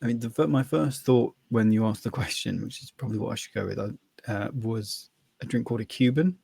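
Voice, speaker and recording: A man's voice: medium pitch, a little fast, creaky, a little mellow, husky. A man co-hosting a podcast, telling rambling anecdotes. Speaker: podcast co-host. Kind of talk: casual chat.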